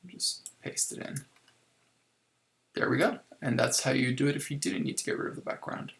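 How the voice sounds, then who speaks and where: Guy doing a laid-back screen tutorial, super gravelly fry in his voice, medium pitch, kinda talking it through as he goes. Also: normal speed. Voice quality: creaky, gravelly. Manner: informal, improvised, thinking aloud while working. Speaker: software tutorial instructor. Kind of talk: casual screen-recorded tutorial, explaining step by step.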